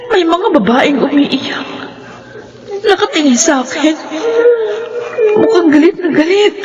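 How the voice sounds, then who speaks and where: A high-voiced young woman haunted by nightmares. She speaks with a Filipino accent in the heightened manner of radio horror drama. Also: animated, unhurried, muffled recording. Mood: terrified.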